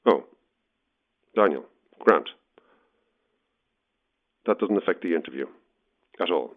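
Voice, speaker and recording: An actor playing a probing, awkwardly blunt Irish newspaper journalist, heard through a telephone filter in an audio drama. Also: male voice, sits low, lively intonation, slow, exaggerated voice. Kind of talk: naturalistic audio horror drama. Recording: thin, narrow telephone line.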